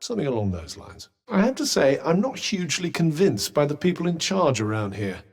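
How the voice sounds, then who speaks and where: A sardonic talking magic bracelet from a video game. His husky voice swoops through a wide, animated range as he needles his companion with dry, teasing remarks. The humor stays bone-dry throughout. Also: a little high, brisk, exaggerated voice. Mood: wry. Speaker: companion character.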